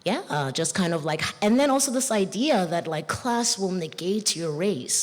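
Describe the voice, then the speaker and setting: A woman from South Africa speaking as a panelist in a live festival discussion, reflective with quick casual asides. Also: medium pitch, big pitch swings. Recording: live hall recording with reverb.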